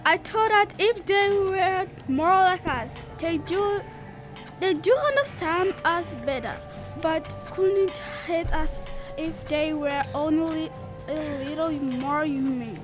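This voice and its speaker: A teenage girl plays an anguished young wife in youth radio theatre. The voice is very high and unhurried, earnest and pleading, over a music bed.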